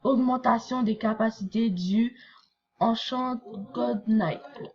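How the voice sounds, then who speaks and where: A woman's voice: on the high side, slow, darkish tone, highs cut off. A young woman from Quebec with a Québécois accent, chatting casually as she reads and reacts to a manga chapter, full of fillers and asides. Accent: Québécois French. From Quebec, Canada. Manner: chatty, rambling, many fillers. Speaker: anime reaction vlogger.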